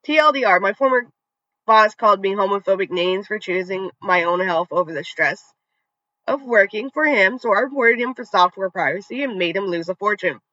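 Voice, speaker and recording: Bright-voiced storyteller reading online posts. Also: mid-range voice, expressive, fairly quick, no room sound. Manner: conversational reading, trips over words and restarts. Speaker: story channel narrator. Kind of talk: reading online revenge stories aloud with casual asides. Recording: dull, slightly lo-fi dry recording.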